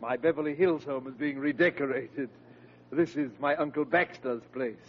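An actor playing a pompous, freeloading uncle who poses as a wealthy gentleman, with haughty, florid enunciation in classic radio comedy style. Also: mid-range voice, moderate pace, lightly acted. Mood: grandly boastful. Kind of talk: 1940s radio comedy acting. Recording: dull, noisy old radio recording.

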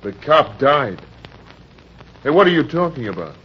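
A man's voice: a little high, big pitch swings, unhurried, breathy edge, heavily acted. An actor playing an edgy, bewildered young man, defensive and questioning, in an old-time radio drama. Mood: alarmed, defensive. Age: 20s. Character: edgy, bewildered young husband suspected of murder. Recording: dull old radio recording.

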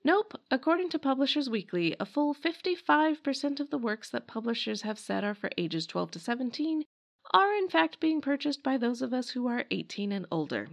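American woman narrating, slightly creaky voice. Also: sits high, sing-song, moderate pace. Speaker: podcast host. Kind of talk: scripted narration of a history podcast.

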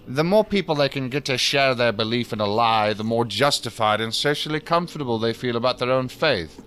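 A man's voice: mid-range voice, expressive, brisk, fairly bright tone, clean tone, faint music behind, lightly acted. An actor playing a jaded, condescending male professor in a science fiction audio drama, wordy and dryly sardonic. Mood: sardonic, lecturing.

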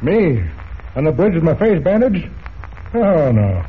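An actor playing a salty, folksy older sea captain in a 1940s radio serial. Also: male voice, a little high, big pitch swings, measured pace. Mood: indignant. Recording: tinny, hissy old radio transcription.

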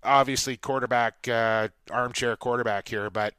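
A man's voice: medium pitch, steady tone, moderate pace, pushed and bright, clean tone, no echo. A man hosting a sports podcast, chatting casually and colloquially with enthusiasm for the games.

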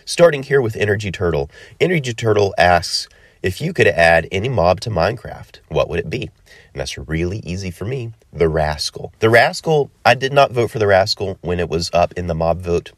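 Stuffy low-voiced man hosting a podcast. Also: lively intonation, moderate pace, no room sound, studio quality. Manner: rambling, many ums and uhs. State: stuffy from a cold. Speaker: podcast host. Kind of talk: casual solo podcast talk.

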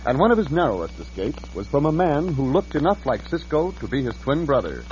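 A man's voice: on the high side, sing-song, brisk, slight background noise. A man narrating an old-time western radio drama in a declamatory storytelling style. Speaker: announcer. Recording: dull old radio recording with loud music.

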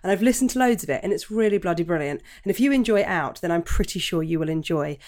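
A woman's voice: on the low side, expressive, fast, darkish tone. A woman hosting a podcast, chatting with gushing enthusiasm over a video call. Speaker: podcast host. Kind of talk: casual interview chat.

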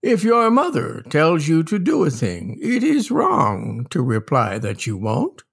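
A man playing a wry older humorist who gives mock-earnest advice to children in a deliberate, drawling, husky theatrical reading. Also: on the high side, huge pitch swings, voice pushed up, heavily acted. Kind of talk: theatrical dramatic reading of a comic essay.

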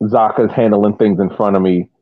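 A man with a fairly low voice gives casual sports analysis as a podcast co-host. He builds his points haltingly and thoughtfully. The audio is dry and thin, like a phone connection. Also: fairly even intonation, no room sound, narrow, tinny audio.

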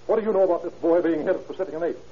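An actor playing a blunt, commanding police lieutenant in an old radio crime drama, with clipped delivery. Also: male voice, fairly high pitch, very fast, close to an everyday voice. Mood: pressing, stern. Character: brisk, hard-nosed police detective lieutenant. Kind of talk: 1930s radio acting. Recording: noisy, muffled old radio recording.

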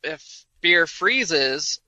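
Bright-voiced man, dull dry recording. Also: fairly high pitch, lively intonation, extremely slow, no room sound. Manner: explains plainly, says "like" a lot. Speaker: podcast co-host. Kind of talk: casual chat.

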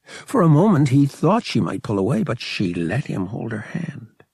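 A man's voice: medium pitch, big pitch swings, moderate pace, creaky, a little mellow, breathy edge, no room sound, studio quality. A man narrating fiction as a scripted, dramatic audiobook reading.

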